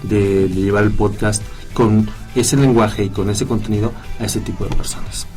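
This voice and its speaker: Low-voiced Mexican man, relaxed guest. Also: moderate pace, faint music behind. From southeast Mexico. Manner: relaxed, joking, many fillers and restarts. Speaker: guest, tech podcaster. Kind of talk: radio interview.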